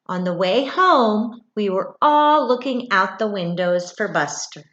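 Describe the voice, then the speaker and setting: A middle-aged woman teacher reads aloud to young children in an unhurried, expressive way. Her pitch moves around a lot as she adds asides and questions. The room gives her voice an audible echo. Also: muffled recording. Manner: expressive, with dramatic gasps and thoughtful hums. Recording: roomy, echoey indoor sound.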